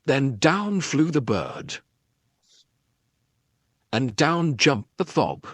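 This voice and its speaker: A man reading a children's story aloud in an expressive, dramatic narrating style. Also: fairly high pitch, drawn-out words, no echo, studio quality. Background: background music.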